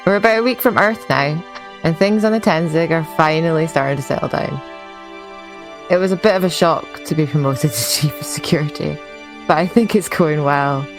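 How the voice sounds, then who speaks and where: A woman's voice: low-pitched, moderate pace. A woman playing a conscientious, exasperated starship security chief, recording an informal, dry log for a sci-fi game podcast. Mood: measured, reflective. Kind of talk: in-character scripted log narration. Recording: music under the voice.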